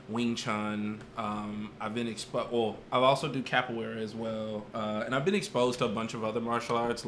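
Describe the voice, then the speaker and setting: A man talking casually in an interview about his martial arts background, relaxed and rambling with many fillers. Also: fairly low pitch, a little hiss or hum. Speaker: martial artist and performer.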